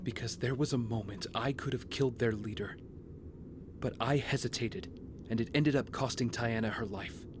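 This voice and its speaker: A man plays a young vigilante hero weighed down by guilt. He speaks earnestly and with measured care, as if confessing, in a medium-pitched voice. A prominent music bed runs underneath this audio drama performance. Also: close to an everyday voice. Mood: grieving, guilty.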